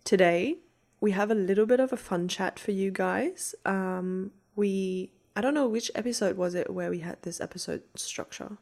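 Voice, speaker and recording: A young woman co-hosting a podcast in a relaxed, chatty way. She laughs easily and keeps the talk upbeat and friendly. Her voice sits in an ordinary middle range. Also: medium pitch, moderate pace. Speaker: podcast co-host. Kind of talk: casual chat.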